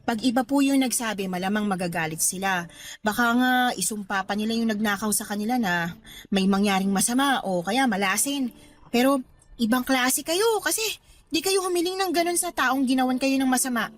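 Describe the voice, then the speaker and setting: Actress as polite, higher-voiced young woman. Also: a little fast, close to an everyday voice. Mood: admiring, earnest. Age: young adult. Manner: polite, earnest. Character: kind, admiring, respectful young female helper. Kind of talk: Tagalog radio drama acting.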